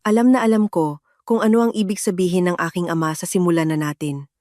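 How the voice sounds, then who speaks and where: A woman's voice: mid-range voice, quick pace, a little mellow, no echo, clean studio sound. A woman reading a scripted, dramatic first-person story as its narrator.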